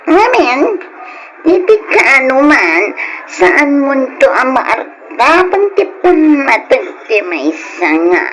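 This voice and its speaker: A woman's voice: high-pitched, very animated, takes it slowly, fairly bright tone, a little hiss or hum, exaggerated voice, rough recording. A woman playing a drunken, defiant teenage younger sister in an Ilocano radio drama, giggly and flippant. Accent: Filipino, Ilocano. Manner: loose, giggly tipsy talk.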